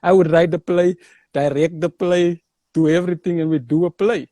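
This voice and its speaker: Young South African man, comedian, mellow voice, slightly high, dry sound. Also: normal speed, dry recording. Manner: animated storyteller, says "bruh". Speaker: comedian guest. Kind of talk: casual interview chat.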